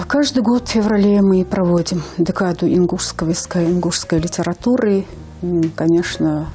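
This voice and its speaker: A woman's voice: on the low side, warm tone. A woman in her fifties from Ingushetia, a language teacher speaking earnestly as a guest on a TV morning show, with hesitant fillers. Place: TV studio.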